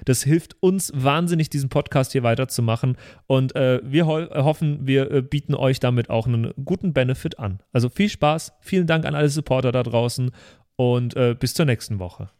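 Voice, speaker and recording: A man's voice: medium pitch, moderate pace, a little mellow, no room sound. Casual man with a dry, close sound. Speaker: podcast co-host. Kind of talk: casual, unscripted support appeal and sign-off.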